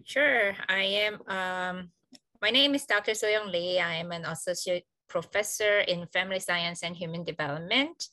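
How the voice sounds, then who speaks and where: Bright-voiced Korean-accented woman on a video call. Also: lowish voice, moderate pace, no echo. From South Korea. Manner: earnest, reflective, with frequent fillers. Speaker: associate professor, panelist. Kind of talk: panel discussion on video call.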